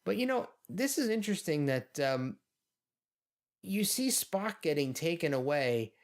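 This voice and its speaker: A man's voice: a little high, sing-song, measured pace. A man co-hosting a podcast, giving casual, wryly amused commentary on an episode as he watches. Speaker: podcast co-host.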